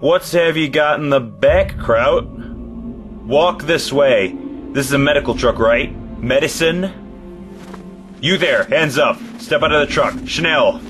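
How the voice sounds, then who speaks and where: A man playing a hard, contemptuous Allied soldier in a war audio drama, gruff, slangy and barking. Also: on the high side, takes it slowly, fairly bright tone, soft background music. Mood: barking, threatening.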